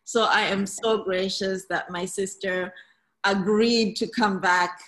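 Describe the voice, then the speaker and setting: Woman, podcast host, interview chat, mid-pitched voice. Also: steady tone, takes it slowly, a bit bright, rough recording.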